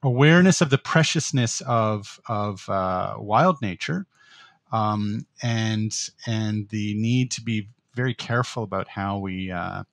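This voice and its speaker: Middle-aged male podcast co-host, reflective and halting delivery, low-pitched voice, dry close sound. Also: gravelly, dry recording. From Netherlands. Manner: thoughtful, hesitant with many fillers and restarts. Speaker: podcast co-host, political science professor. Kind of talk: casual conversational podcast.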